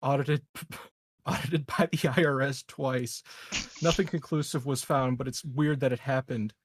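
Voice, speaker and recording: A man's voice: medium pitch, steady tone, unhurried, dry recording. A man chatting casually as a game player, musing aloud with some swearing. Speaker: player in a tabletop roleplaying group. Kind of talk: casual chat.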